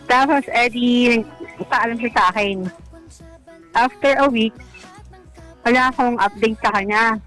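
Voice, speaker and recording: A woman's voice: fairly high pitch, measured pace, pauses often, a bit bright, soft background music, rough recording. A Filipino woman calling a radio show, telling a personal story in a casual, hesitant, self-deprecating way. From Philippines.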